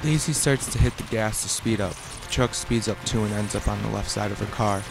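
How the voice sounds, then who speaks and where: Male narrator for amateur radio drama: lowish gravelly voice reading scene directions, clear background noise. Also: brisk. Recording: noisy, rain and sound effects behind.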